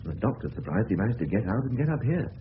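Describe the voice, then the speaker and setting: A man's voice: on the low side, sing-song, fast talker. An actor playing a hearty, affectionate middle-aged English father in a radio drama, bluff and chummy. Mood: concerned, bluff. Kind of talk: 1970s radio play acting. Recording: tinny, hissy old radio broadcast.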